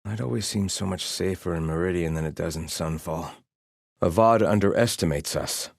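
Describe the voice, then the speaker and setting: An actor playing a haughty, devout soldier of a sun-worshipping empire in a video game, with a formal, disdainful delivery. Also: male voice, low voice, moderate pace, gravelly, no echo, studio quality. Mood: stern, contemptuous. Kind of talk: scripted video game barks and lines.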